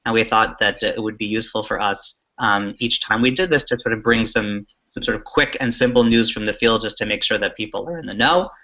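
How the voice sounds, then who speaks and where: Bright-voiced man hosting, slightly muffled call. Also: fairly low pitch, brisk, hardly any pauses. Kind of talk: casual hosting talk. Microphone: video call. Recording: dull lo-fi online call audio.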